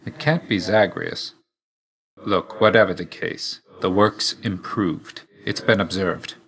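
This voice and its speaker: Low-voiced, reserved god of death. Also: male voice, creaky, fairly bright tone, exaggerated voice, poor audio. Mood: stern. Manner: measured, formal, understated. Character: male god of death, reserved, dutiful, weary, quietly fond. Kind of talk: video game character voice lines.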